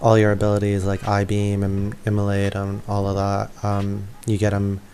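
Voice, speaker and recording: Low, creaky-voiced male gamer. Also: monotone, moderate pace, warm tone, slight background noise. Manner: informal, hesitant, thinking aloud. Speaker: gaming video creator. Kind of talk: casual gameplay walkthrough commentary. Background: faint game music.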